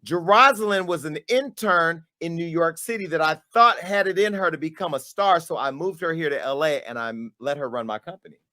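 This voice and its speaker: A man's voice: a little high, a little fast, few pauses. Sassy man calling someone out. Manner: sassy, dramatic, shifting between hushed and loud. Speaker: media company owner and host. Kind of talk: on-camera callout monologue.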